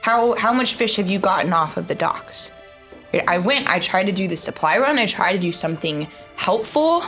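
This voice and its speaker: A woman playing a tabletop roleplay, describing her character and arguing in character in an animated way. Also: medium pitch, moderate pace, faint music behind. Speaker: player.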